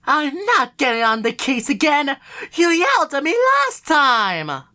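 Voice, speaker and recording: Girl doing goofy cartoon gator agent, super swoopy and high, dramatic and playful. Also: very animated, a little slow, heavy background noise. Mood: playful, bickering. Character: goofy, excitable alligator spy agent. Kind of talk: cartoon fandub acting.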